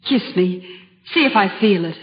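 Hesitant wistful young woman, old radio drama, husky voice, dull old recording. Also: mid-range voice, expressive, normal speed. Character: wistful, polite young woman, softly wondering.

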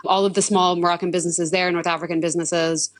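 A young woman with a hoarse voice from weeks of screaming, an excited football fan chatting casually in a radio interview. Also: lowish voice, fast, no echo. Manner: casual, upbeat, says "like" often.

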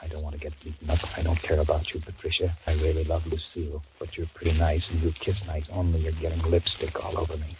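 Cowardly sweet-talking young man, radio drama, anxious asides, very low voice, scratchy hissy old broadcast. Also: completely flat delivery, fast, hardly any pauses, dull audio. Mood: flustered musing. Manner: anxious muttering asides, then coaxing sweet talk. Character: nervous philandering young man, cowardly, self-doubting. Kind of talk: 1940s radio acting with inner monologue.

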